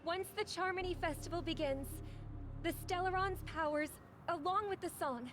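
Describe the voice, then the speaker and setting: An actress playing an earnest, gentle young singer in a video game, formal and respectful, with noisy ambience behind her. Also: very high-pitched, normal speed.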